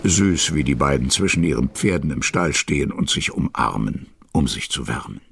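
A man playing a wry, kindly storyteller narrating a children's radio play in a gravelly voice. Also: extremely low pitch, animated, moderate pace, a bit bright, no echo, heavily acted. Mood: amused, tender.

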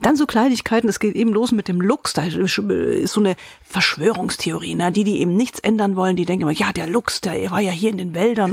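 A woman's voice: mid-range voice, gravelly, a little mellow, husky, no room sound. A woman co-hosting a podcast, chatting casually in colloquial, slangy language. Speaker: podcast co-host and reviewer. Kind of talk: casual chat.

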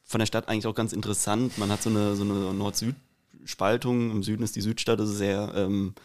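A man with a Hessian accent tells a long story at a steady pace, often repeating a word before he gets going. His voice sits a little low for a man. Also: a little fast, slight background noise. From Rüsselsheim, Germany. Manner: hesitant, repeats words when starting. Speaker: podcast co-host. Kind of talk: casual anecdote telling.

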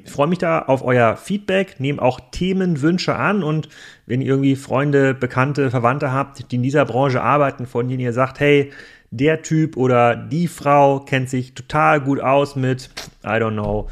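A man hosting a podcast, chatty and casual, with many fillers. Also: mid-range voice. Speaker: podcast host.

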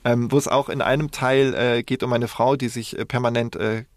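A man's voice: mid-range voice, fairly even intonation, a little fast, no room sound. A man explains things in casual podcast conversation as a co-host, often correcting himself as he goes. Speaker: podcast co-host and professional news presenter.

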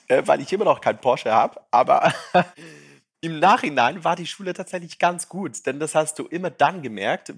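A man in his thirties, born in Brazil and raised in Switzerland, speaking with a faint French-tinged accent. His voice is a little husky and sits at a middle pitch. He chats loosely, with plenty of fillers and light self-mockery. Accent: light French-tinged Swiss. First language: Portuguese and French. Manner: chatty, self-deprecating, many fillers. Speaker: startup founder, guest. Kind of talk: casual interview chat.

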